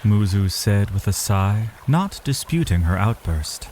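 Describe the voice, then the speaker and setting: A man's voice: fairly low pitch, very animated, measured pace, a little mellow, slight background noise. A man narrating a fantasy audio drama from a script in a solemn, literary storytelling manner.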